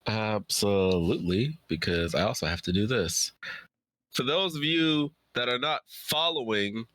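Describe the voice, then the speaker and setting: Bright-voiced, lower-pitched man, tired from streaming. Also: measured pace, dry recording. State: fatigued. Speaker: live streamer and host. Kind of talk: casual livestream chat.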